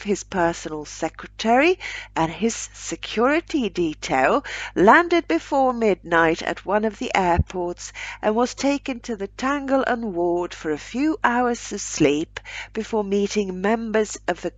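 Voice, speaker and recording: A woman reading a short story aloud as a narrator, with a measured, wry storytelling delivery. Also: fairly low pitch.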